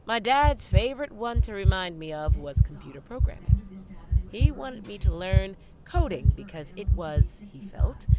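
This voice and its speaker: A young woman playing a stressed, self-questioning theater student in a dramatic monologue, with theatrical, deliberate delivery. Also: mid-range voice, animated, over a noisy crowd, natural voice, low-quality recording. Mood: reflective, uneasy. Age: young adult. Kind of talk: dramatic monologue in an audio play.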